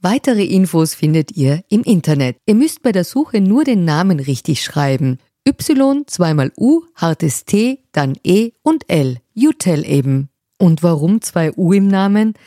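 Sehr tiefe, warme Frauenstimme, trocken aufgenommen. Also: female voice, on the low side, sing-song, measured pace, no room sound, clean studio sound. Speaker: podcast presenter reading a sponsor message. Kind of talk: scripted ad read.

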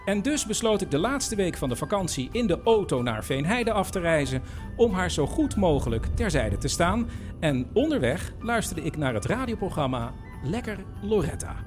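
A fairly high-voiced man with animated intonation narrates a radio play over music. He presents it as a first-person storyteller to his listeners. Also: moderate pace.

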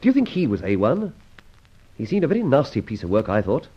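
A man acting a middle-aged gentleman adventurer and former soldier in an old-time radio serial, brisk and musing with clipped exclamations. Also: medium pitch, huge pitch swings, husky. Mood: urgent, planning. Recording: muffled, dull old radio recording.

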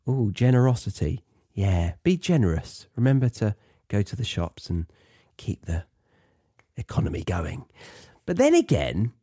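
A British man with a fairly low, mellow voice that swings expressively up and down. He talks like a solo podcast host, chatty and playful, slipping in tongue-in-cheek asides. The sound is close and dry. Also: no echo. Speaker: podcast host teaching English to non-native learners. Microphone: close podcast microphone in a dry room.

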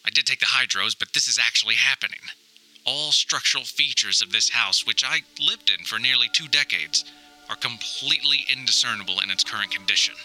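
A man's voice: medium pitch, fairly quick, sharp tone, faint music behind, poor audio. A man playing an anxious, wry grown son recording a wordy, mock-dramatic audio diary. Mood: dramatic despair. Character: anxious, wry grown son lost in hoarder mother's house. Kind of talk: scripted comic audio diary monologue.

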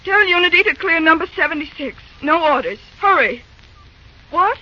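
A fretful young railroad office woman in an old radio drama. Her voice is very high and bright, full of anxious, caring exclamations. The recording is dull and lo-fi. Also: expressive, moderate pace, exaggerated voice. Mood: urgent.

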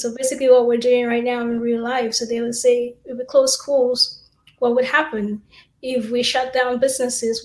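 Woman guest from Cameroon, echoey room. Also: a little high. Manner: explanatory, steady, with fillers. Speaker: assistant professor, guest. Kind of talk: interview answers.

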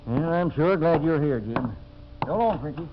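A man plays an honest frontier prospector in an old radio western. The voice sits a little high for a man and moves up and down in a lively, folksy way. The sound is dull 1950s broadcast. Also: normal speed, noisy background, close to an everyday voice. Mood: glad, modest. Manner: folksy, plainspoken. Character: honest, plainspoken male gold prospector, loyal frontier sidekick.

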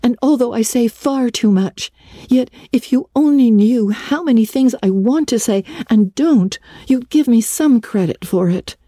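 A woman's voice: fairly high pitch, a little slow, warm tone, no echo, studio quality, pitched above the performer's own voice. A woman voicing an eager, talkative, earnest orphan schoolgirl in a gushing, dramatic storybook reading. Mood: pleading, contrite. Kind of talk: dramatic audiobook reading of a classic novel.

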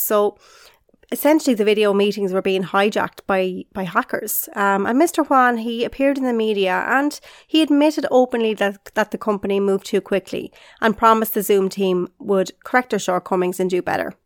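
A woman's voice: medium pitch, moderate pace, dry recording, studio quality. A woman, hosting a solo podcast in an upbeat, encouraging way, slightly congested and nasal from being unwell. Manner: upbeat, encouraging, direct to listener. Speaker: podcast host and leadership coach.

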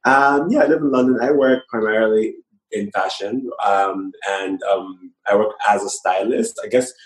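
Low-ish voiced guy, hesitant, lots of ums, echoey room. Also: moderate pace. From Nigeria. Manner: hesitant, many fillers. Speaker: guest, stylist. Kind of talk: casual podcast chat.

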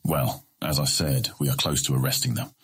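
Very deep, husky scheming merchant. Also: male voice, animated, a bit bright, heavily acted. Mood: placating. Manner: formal, measured, placating. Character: scheming, measured male merchant guildmaster, politely persuasive. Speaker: voice actor in audiobook. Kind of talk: full-cast fantasy audiobook acting.

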